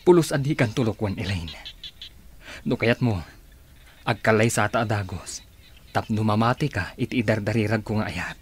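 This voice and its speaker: A man's voice: lowish voice, normal speed, pauses often, slight background noise, close to an everyday voice. A man playing an ardent, earnest suitor in love, acting in a radio drama. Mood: ardent, pleading.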